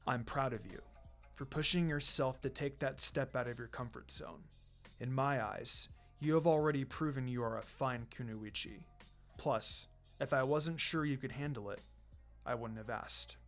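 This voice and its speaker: Calm, firm male ninja mentor, played by a man reading fan fiction, lowered pitch, measured and reassuring, music underneath. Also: close to an everyday voice. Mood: gentle, reassuring. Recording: music behind the voice.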